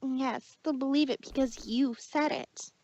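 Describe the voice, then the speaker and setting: A woman's voice: sits high, fairly even intonation, unhurried, darkish tone, dry recording, highs cut off. A woman playing a proud but wounded female pony magician who speaks haughtily of herself in the third person, in a fan fiction audio drama. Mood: serious, dejected. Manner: haughty, self-referential third-person speech.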